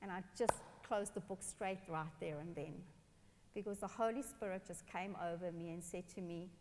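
A woman's voice: fairly low pitch, moderate pace, slight background noise. South African woman preaching, echoing hall. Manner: animated, expressive, gestures while speaking. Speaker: pastor. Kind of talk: personal sermon storytelling. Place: large dark church stage. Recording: long church hall reverb.

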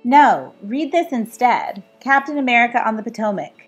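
A woman's voice: on the high side, lively intonation, moderate pace, breathy edge, faint music behind. A woman who is an author, reading her own book aloud in a scripted style. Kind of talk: scripted read-aloud narration.

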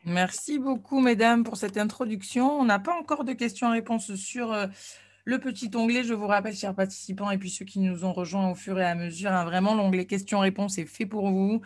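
A young woman moderates an online conference. Her voice is of average pitch and comes through dry, with little room sound. She addresses participants as a host. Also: fairly quick, dry recording. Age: young adult. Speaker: webinar moderator. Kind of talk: hosting a web conference. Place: indoor room at home. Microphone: video call.

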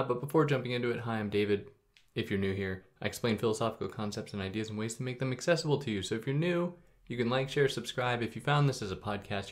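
A young adult man hosting a podcast, explaining philosophy in a relaxed, conversational way with a soothing voice and sudden upward jumps in pitch. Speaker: podcast host. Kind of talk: casual explainer monologue.

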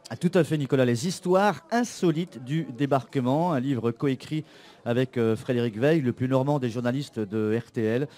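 A man presenting a radio chronicle in a measured, relaxed delivery. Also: mid-range voice. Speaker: radio columnist.

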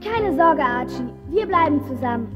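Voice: high-pitched, lively intonation, normal speed, dull audio. A performer voicing an earnest, plucky young dinosaur in a children's audio play. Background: music.

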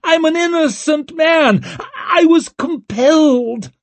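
Shrill, wailing condemned young nobleman. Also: animated, takes it slowly, no echo, exaggerated voice. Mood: desperate wailing. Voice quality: screeching.

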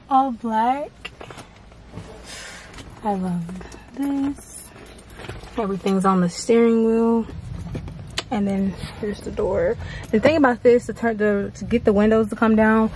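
A woman's voice: medium pitch, a little mellow, a little hiss or hum. A twenty-year-old woman vlogging in a bubbly, chatty way, swearing freely, talking into a phone held at arm's length. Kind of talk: excited casual vlog. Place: small home bathroom, then outdoors by a car. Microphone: phone camera at arm's length.

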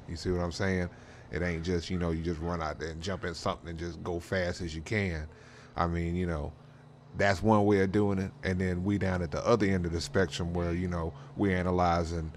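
Deep-voiced man, slangy and rambling. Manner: slangy, rambling, frequent "you know what I'm saying". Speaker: host of a drag racing role-play channel. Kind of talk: casual in-character game role-play commentary. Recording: clear background noise.

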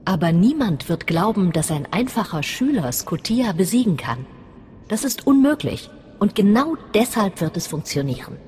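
A woman playing a scheming, cold and deliberate Sith master in a video game. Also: mid-range voice, very animated, dark tone, a little hiss or hum, close to an everyday voice. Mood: calculating. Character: scheming, bitter female Sith master plotting against a rival. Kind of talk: scripted video game acting in German. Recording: long reverb, music under voice.

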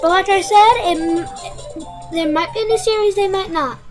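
A woman's voice: very high voice, moderate pace. A woman co-hosting a game playthrough, joking and rambling in a casual way, with game music behind her. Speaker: co-host. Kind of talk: casual gameplay chat.

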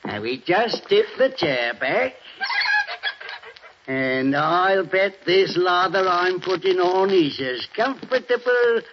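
An actor playing a little old Cockney barber, chatty and deferential, in a 1940s radio drama. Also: male voice, sits high, lively intonation, takes it slowly. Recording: dull old radio recording with faint hiss.

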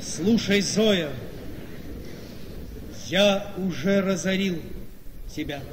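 An actor playing a vain, scheming gentleman husband in a radio play, speaking persuasively and emphatically with weighty pauses. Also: high voice. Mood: grave, pleading. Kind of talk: theatrical radio play acting. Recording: noisy, long echoing hall reverb.

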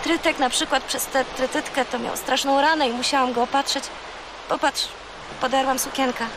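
A woman's voice: sits high, fairly even intonation, normal speed, noisy background. A woman playing a brave, imaginative thirteen-year-old girl, eager and childlike, in a children's audio drama. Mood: earnest, excited.

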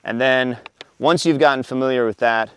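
A man in his thirties, an endurance coach, explaining roller ski gear outdoors by a forest road, relaxed, with frequent fillers. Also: mid-range voice, moderate pace, a little hiss or hum. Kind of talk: outdoor equipment tutorial.

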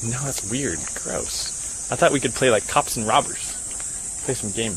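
A man's voice: mid-range voice, moderate pace. A young man chatting and bantering casually while walking outdoors. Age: young adult. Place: outdoor wooded park. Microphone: handheld camera at arm's length. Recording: noisy outdoor audio with insects.

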